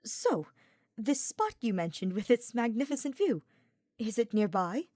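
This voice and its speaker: A woman's voice: a little high, big pitch swings, unhurried, mellow, no room sound, clean studio sound, voice pushed up. A woman voicing an earnest, cheerful young nobleman knight in an audiobook, with florid and formal phrasing. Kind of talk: fan fiction audiobook character voice.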